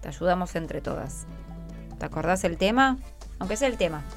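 Low-pitched Argentine woman hosting, music behind. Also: lively intonation, normal speed. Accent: Argentine Rioplatense. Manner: patient, prompting with questions. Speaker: radio host interviewing schoolchildren. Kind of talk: encouraging, informal radio interview.

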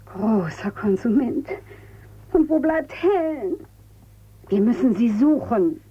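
A woman's voice: a little high, lively intonation, takes it slowly, dark tone, slightly rough, heavily acted. An actress playing a desperate, imploring mother in a radio drama. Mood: worried. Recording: dull, lo-fi radio sound.

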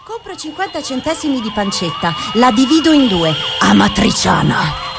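A woman's voice: a little high, big pitch swings, moderate pace, gravelly. A young actress playing a thrifty, frantic young woman, reciting lists in an insistent theatrical chant. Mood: obsessive chanting. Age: young adult. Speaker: actress in a stage play. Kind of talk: theatrical rhythmic list recitation. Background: music.

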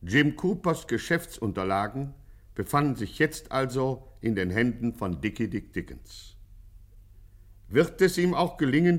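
A man with a medium-pitched voice narrates in the manner of a 1950s radio serial. His delivery is formal and scripted, with a mock-dramatic announcer's flourish.

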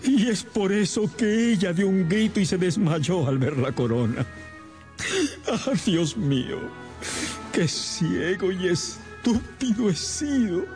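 An actor playing an anguished, remorseful gentleman father in a melodramatic, exclaiming radio drama style. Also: sits high, big pitch swings, takes it slowly, faint music behind, heavily acted. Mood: anguished disbelief.

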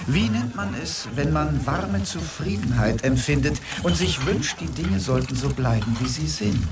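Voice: medium pitch, expressive, a little slow, vocal fry, distant mic. An actor playing Death himself in a fantasy radio drama, solemn and literal-minded, speaking in terse, formal phrases. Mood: calm, earnest.

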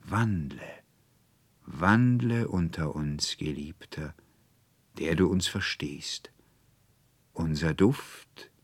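A man voicing adoring, yearning spirits of flowers, fire, streams and birds in a storybook, breathy and beckoning. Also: sits low, dry recording, full character voice. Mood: tender longing. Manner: rapturous, beckoning, drawn-out.

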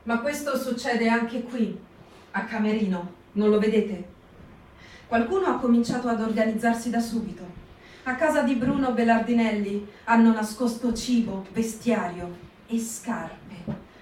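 A woman's voice: fairly high pitch, a little mellow, slight background noise, close to an everyday voice. A woman voicing a townswoman telling of urgently warning a fugitive to flee. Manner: urgent, hurried storytelling. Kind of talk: stage reading for radio. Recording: echoey room, distant mic.

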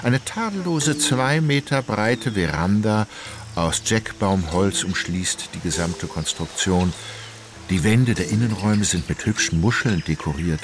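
A man giving scripted literary narration for a radio play in a composed, precise, formal manner. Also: deep voice.

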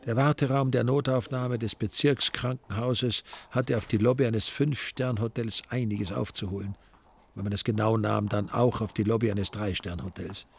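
A man's voice: lowish voice, fairly even intonation, normal speed, gravelly, breathy edge. A man with an Austrian accent narrating a radio crime play in a dry, ironic storytelling manner.

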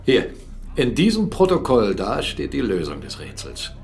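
Gruff, sardonic captain, swinging widely in pitch. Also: male voice, wildly swooping pitch, normal speed. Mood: excited. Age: middle-aged. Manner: mocking, blustering, forceful. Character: gruff, commanding older captain, sardonic and jealous fiancé. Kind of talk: German radio drama acting. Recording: studio radio drama with music underneath.

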